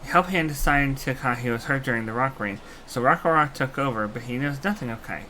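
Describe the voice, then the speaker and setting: A man's voice: mid-range voice, brisk, pushed and bright, slight background noise, close to an everyday voice. A man doing a small eager creature with broken speech. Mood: earnest. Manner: broken third-person speech. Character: small eager creature helper, speaks of itself by name. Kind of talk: fan voicing of game dialogue. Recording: game music behind.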